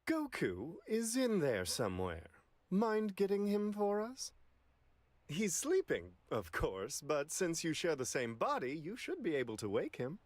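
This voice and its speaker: A man's voice: on the high side, wildly swooping pitch, a little slow, no room sound, heavily acted. A man voicing a courtly, unflappable celestial attendant in an anime video game, with a prim, polite, theatrically lilting delivery. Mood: politely coaxing.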